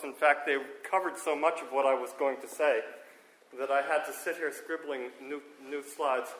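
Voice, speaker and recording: A man's voice: on the high side, bright, forceful tone, roomy sound, poor audio. A man giving a technical conference talk to a room, informal and self-correcting, with a strong speaking voice, checking in with the audience as he goes. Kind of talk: technical lecture on hearing and audio. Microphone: handheld or stand microphone.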